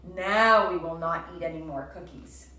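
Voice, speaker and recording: A woman's voice: on the low side, measured pace, bright, forceful tone. A woman voicing a kindly male storybook frog who reasons earnestly with his friend. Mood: resigned. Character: kindly, sensible male frog, patient friend preaching willpower. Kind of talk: children's storybook read-aloud. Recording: roomy, distant, faint background noise.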